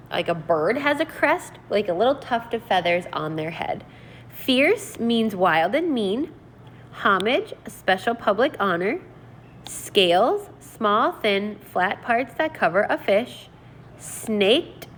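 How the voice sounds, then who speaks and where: A woman teacher reading aloud and explaining in an expressive, deliberate, instructional way. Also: medium pitch, slight background noise. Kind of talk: read-aloud lesson, narrating and explaining vocabulary.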